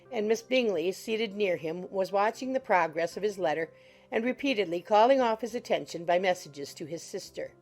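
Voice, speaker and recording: A woman reading literary narration as an audiobook narrator, measured and formal. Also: mid-range voice, a little mellow.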